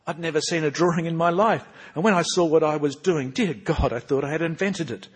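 A man with a husky voice reading aloud as a cheeky, bookish boy narrator, with animated, emphatic storytelling. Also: fairly high pitch, a little fast, pitched above the performer's own voice. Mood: spirited. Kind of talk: reading aloud from his own book.

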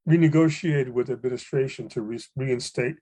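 An older man from Hawaii telling stories about fellow poets in a relaxed conversation, with frequent fillers and restarts. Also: medium pitch, moderate pace. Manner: rambling, many fillers and restarts, warm anecdotes. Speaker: poet and guest. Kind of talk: reflective storytelling conversation.

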